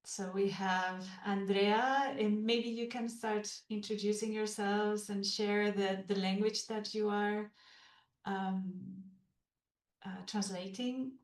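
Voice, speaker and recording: A woman's voice: medium pitch, fairly even intonation, slow pace, roomy sound. A woman hosting a podcast over a video call, talking with many hesitations and fillers. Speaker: podcast host.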